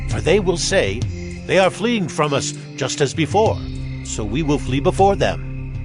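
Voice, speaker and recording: An earnest, commanding leader of ancient Israel, with a fairly high male voice. He speaks with authority in a scripture audio drama, over clear background music.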